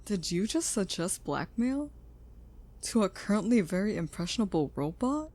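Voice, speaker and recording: A woman playing a caring, protective scientist in an audio roleplay, speaking gently and earnestly in a slightly husky voice. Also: mid-range voice, very animated, moderate pace. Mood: teasing, indignant. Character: caring female scientist, protective creator of a sentient robot.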